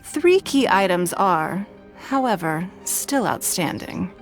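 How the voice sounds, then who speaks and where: A woman playing a poised, wealthy female ruler who speaks in a measured, formal, authoritative way. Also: fairly low pitch, very animated. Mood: commanding. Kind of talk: game voice acting. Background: game music.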